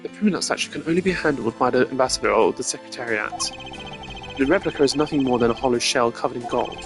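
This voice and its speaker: A composed male prosecutor, acted out by a streamer, dry and exact in his formal phrasing. He lays out logic in a measured voice over clear background music, as in a detective video game. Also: mid-range voice, natural voice.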